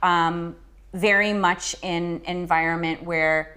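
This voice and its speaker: A woman's voice: fairly low pitch, fairly even intonation, takes it slowly, sharp tone. A woman from Los Angeles hosting a talk show, asking questions with sympathy and encouragement. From Los Angeles, USA. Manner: sympathetic, encouraging, lots of short affirmations. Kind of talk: casual interview talk.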